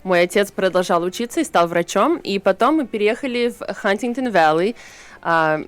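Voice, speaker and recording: A woman interpreting on a radio show in a studio, relaying answers in a brisk, matter-of-fact way. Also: mid-range voice, expressive.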